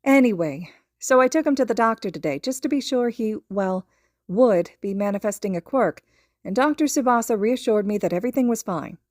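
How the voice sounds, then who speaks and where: A woman's voice: medium pitch, a little fast, dry recording, studio quality, voice pushed up, lightly acted. Woman acting a light, chatty, anxious mother. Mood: relieved, chatty. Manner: animated, gossipy, light voice.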